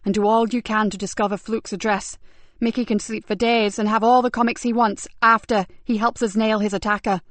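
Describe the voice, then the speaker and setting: A woman reading a forceful female detective inspector in an audiobook, speaking loudly and urgently. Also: medium pitch, level tone, brisk, few pauses, no echo, close to an everyday voice. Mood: brisk. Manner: sharp and urgent.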